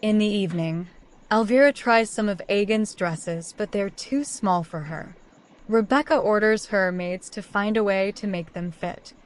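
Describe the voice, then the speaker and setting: A woman's voice: medium pitch, measured pace. A woman narrating a scripted story recap, telling events in order as a storyteller.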